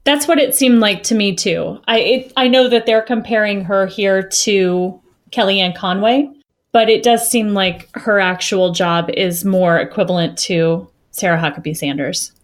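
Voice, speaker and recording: A woman's voice: medium pitch, a little slow. A woman co-hosting a casual podcast, laughing often and cracking sarcastic jokes. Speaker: podcast co-host.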